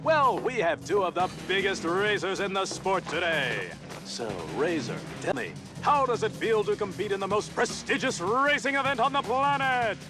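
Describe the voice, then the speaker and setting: Video game sportscast host: high, animated, bombastic, slick showman selling danger to fans. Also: normal speed, music in the background. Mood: showy, excited. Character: brash, flamboyant showman TV race host. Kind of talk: over-the-top sportscast hosting.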